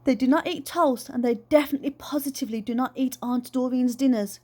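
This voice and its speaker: A woman's voice: sits high, fairly even intonation, brisk, darkish tone. A woman voicing a plump, childlike dad in a storybook, eager, playful and boastful about flying. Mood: eager, insistent. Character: plump, excitable, childlike dad who longs to fly.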